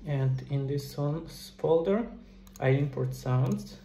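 Middle aged guy doing a casual screen tutorial, soft dark tone, bit of room echo. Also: a little high, slow, gravelly. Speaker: game developer giving a tutorial. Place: small indoor room at a computer. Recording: roomy echo, faint background noise.

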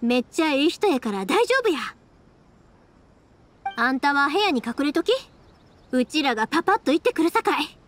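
High, lively Kansai-accented girl. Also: moderate pace, long pauses. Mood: hurried. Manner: breezy, familiar, reassuring. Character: bright young fireworks maker, caring, bustling village girl. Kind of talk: Japanese anime game voice acting. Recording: soft music behind.

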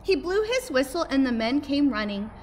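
Female storybook reader for children: higher-pitched, expressive teacher delivery, recorded outdoors on a device with light ambient noise. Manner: emphatic, pausing to ask listeners questions. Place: outdoors by a brick building entrance. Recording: faint outdoor background noise.

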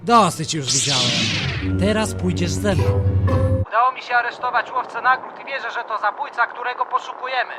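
A man's voice: high-pitched, animated, moderate pace, loud music behind the voice. A man playing an exasperated, wry, put-upon warrior monk in a fan parody dub. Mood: stern. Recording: noisy fan-dub audio, engine-like ambience.

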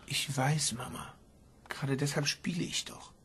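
A man playing an earnest, intense son absorbed in a game, husky and creaky, in a German radio drama. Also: on the high side, steady tone, moderate pace, a bit bright. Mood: quiet, absorbed.

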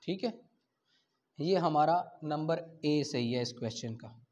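A man's voice: fairly high pitch, animated, unhurried, darkish tone. A Pakistani man in his thirties lecturing didactically as a teacher in a classroom, posing questions and answering them himself. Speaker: law teacher. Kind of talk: classroom lecture for exam preparation. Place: small indoor classroom at a whiteboard. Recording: dull lo-fi camera sound.

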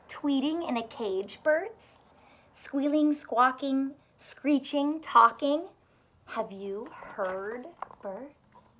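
Female children's read-aloud: high-ish voice, very unhurried pace, expressive sing-song teacher delivery. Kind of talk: picture-book read-aloud. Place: small furnished room indoors.

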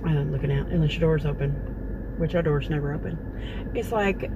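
A woman's voice: low-pitched, brisk, highs cut off. A middle-aged woman, chatting casually and rambling with lots of fillers to her phone camera in a parked car. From Texas. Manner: chatty, meandering, folksy with many fillers. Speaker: vlogger. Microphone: phone camera at close range. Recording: car interior with noticeable background noise.

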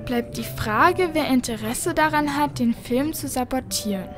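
High-voiced, determined young girl. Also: a little slow, over music. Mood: earnest, puzzled. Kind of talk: children's audio drama acting.

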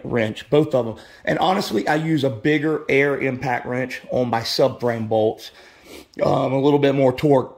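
A man's voice: medium pitch, darkish tone. A man explaining a car repair step by step in a casual, rambling way, full of fillers and restarts, recorded in his home garage. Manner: rambling, many restarts and "you know" fillers. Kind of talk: casual how-to walkthrough.

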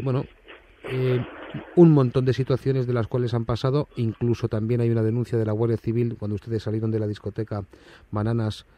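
A man's voice: lowish voice, mellow, no echo, voice pushed down, close to an everyday voice. A man with a Spanish accent from Spain playing an indignant, bullying company manager on a prank phone call, speaking in formal, hesitant phrases or blunt, coarse threats. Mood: stiff, formal.

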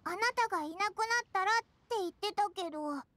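An actress playing a small, curious, excitable childlike fairy companion in a video game. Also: female voice, very high voice, very fast, slight background noise. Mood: puzzled. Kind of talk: animated game dialogue.

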